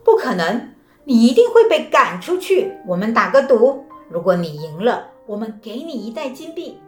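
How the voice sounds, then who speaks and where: A woman's voice: on the high side, very animated, normal speed. Woman doing smug, scoffing rich gamblers. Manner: scoffing, insistent. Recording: roomy, with faint music.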